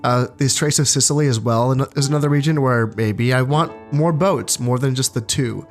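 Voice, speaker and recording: Stuffy-nosed guy talking through a strategy game, mid pitch, laid back and rambly. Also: normal speed, soft background music. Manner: relaxed, thinking aloud with fillers and restarts. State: congested from allergies, low energy. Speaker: gaming channel host. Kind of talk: casual strategy game commentary.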